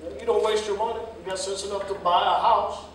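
A man's voice: high voice, steady tone, fast, lightly acted. A man acting an older, fatherly American jitney driver in a staged play reading, with a folksy, emphatic, lecturing way of talking. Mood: earnest, advising. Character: older railroad pensioner jitney driver, fatherly, plain-spoken adviser. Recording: distant mic, echoey hall, faint noise.

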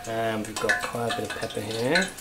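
A man's voice: lowish voice, moderate pace, very noisy. Chatty man cooking in an echoey kitchen. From United Kingdom. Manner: relaxed, chatty, talks while working. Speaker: cooking show chef. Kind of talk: casual cooking demonstration. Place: home kitchen. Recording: echoey kitchen with loud sizzling. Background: frying and sizzling.

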